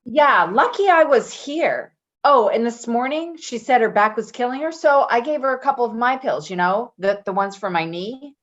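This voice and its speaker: Actress as a blunt ex-convict woman, very animated. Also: a little high, moderate pace, highs cut off. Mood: matter-of-fact. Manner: blunt, combative, defensive. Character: Patti, tough defensive ex-convict woman, quick to bristle. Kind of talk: dramatic play reading, naturalistic dialogue.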